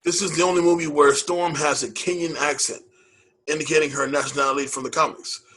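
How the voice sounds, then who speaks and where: A man co-hosting a casual movie podcast, with a bright, forward voice and a breathy, husky edge. He talks loosely and with hype, repeating phrases and dropping slang. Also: a little high, little pitch movement, brisk, rough recording. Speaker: podcast co-host. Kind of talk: casual movie-review chat.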